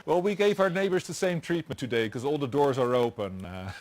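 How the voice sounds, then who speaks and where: Halting man hosting an interview. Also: a little high, expressive, darkish tone. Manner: halting, many restarts and chuckles. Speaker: host. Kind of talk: live online interview. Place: home set with doors open.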